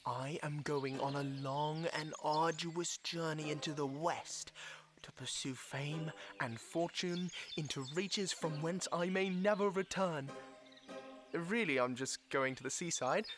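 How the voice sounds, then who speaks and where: A man's voice: on the high side, a little slow, over music, natural voice. A man playing a polite, kindly little marten in a storybook audio drama, earnest and easily flustered, with courteous old-fashioned phrasing. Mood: bashful bravado.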